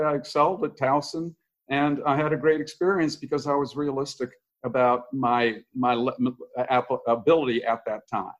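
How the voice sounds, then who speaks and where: An older American man, a podcast guest, telling candid, self-deprecating stories from his life in a relaxed interview. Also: medium pitch, highs cut off. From United States. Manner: candid, self-deprecating storyteller with frequent restarts. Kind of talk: casual conversational interview.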